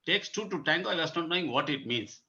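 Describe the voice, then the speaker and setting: Sharp, bright older Indian man, lo-fi audio. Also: a little high, lively intonation, fast talker. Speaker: guest, senior marathon runner. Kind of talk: conversational anecdote.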